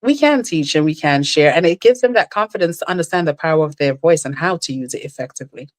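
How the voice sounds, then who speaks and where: Middle-aged woman guest, low voice, chatty storyteller who jumps way up when quoting folks. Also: animated, fast talker. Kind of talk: casual chat.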